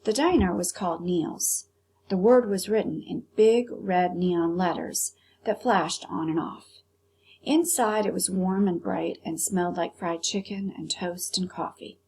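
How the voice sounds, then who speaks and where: A woman's voice: fairly low pitch, unhurried, mellow, slightly rough, poor audio. A woman teacher narrating a children's novel as a read-aloud.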